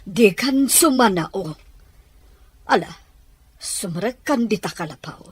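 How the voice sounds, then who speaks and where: A woman plays a protective, stern mother in a drama. Her husky voice moves in animated swings as she scolds with anxious urgency. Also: mid-range voice, takes it slowly, dry recording. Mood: anxious, scolding.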